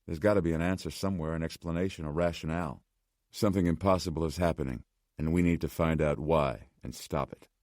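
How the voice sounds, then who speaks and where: A man voicing a harried, skeptical male doctor in an audiobook, brisk, curt and questioning. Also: extremely low pitch, steady tone, darkish tone, no echo, clean studio sound. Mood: urgent. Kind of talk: audiobook character voice.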